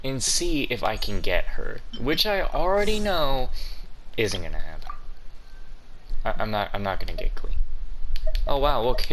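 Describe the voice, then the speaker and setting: A man giving casual gameplay commentary, rambling and swearing as he talks to himself. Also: on the low side. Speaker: gaming streamer. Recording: clear background noise with clicks.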